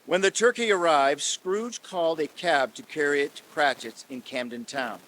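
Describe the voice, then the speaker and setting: A man's voice: a little high, moderate pace, a bit bright, a little hiss or hum, natural voice. A man narrating a story in a theatrical style for an old-time radio reading. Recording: reverberant hall stage recording.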